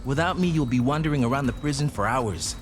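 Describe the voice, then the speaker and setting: A man's voice: mid-range voice, fast, lightly acted. A man playing a young, streetwise, helpful gang sidekick in a video game cutscene. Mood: cautioning, confident. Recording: clear outdoor boat and vehicle noise.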